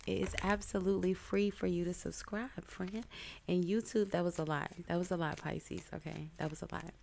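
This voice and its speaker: A woman giving a casual tarot reading, stuffed up with a cold, talking in a chatty, halting way with many ums. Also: on the low side, fairly even intonation, vocal fry, a little mellow, slight background noise. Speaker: tarot reader.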